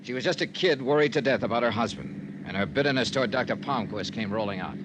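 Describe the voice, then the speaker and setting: A man's voice: mid-range voice, fast talker, noisy background, natural voice. A man playing a wry, persistent insurance investigator, narrating and talking in clipped 1950s radio drama style. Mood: wry, measured. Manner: punchy, clipped, conversational. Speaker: narrator and lead detective. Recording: old-time radio recording.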